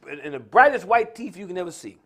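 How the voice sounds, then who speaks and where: High-voiced, emphatic American man preaching off the cuff. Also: very animated, fast talker, fairly bright tone. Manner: emphatic, repetitive, colloquial storytelling. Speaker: speaker on a religious talk live stream. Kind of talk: impromptu preaching-style social commentary.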